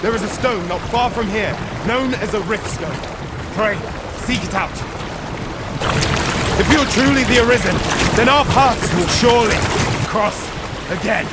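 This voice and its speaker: High-pitched, earnest loyal pawn. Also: male voice, measured pace, pauses often, heavy background noise. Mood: earnest, strained. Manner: urgent, formal archaic phrasing. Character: loyal, selfless male pawn in fantasy world. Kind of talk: fantasy game voice acting.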